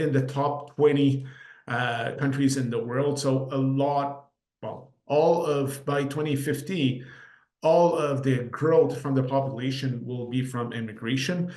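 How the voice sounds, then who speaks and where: A man in his forties from Quebec, a native French speaker with a Quebec French accent, presenting market data in a relaxed webinar talk dotted with "uh" fillers. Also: medium pitch. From Quebec, Canada. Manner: relaxed, conversational, frequent "uh" fillers. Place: office room. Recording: roomy echo.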